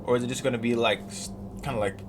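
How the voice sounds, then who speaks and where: A man's voice: medium pitch, fairly even intonation, quick pace. A man reading on-screen text aloud and breaking off into chatty, incredulous asides as he reacts to a video. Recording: loud background noise.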